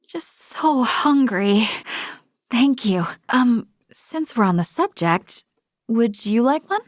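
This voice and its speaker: A woman's voice: fairly high pitch, no echo, clean studio sound. A woman playing an earnest, polite young female space officer in a video game, speaking in a formal, thoughtful way. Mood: flustered. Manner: measured, formal, thoughtful delivery. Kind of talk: scripted video game voice acting.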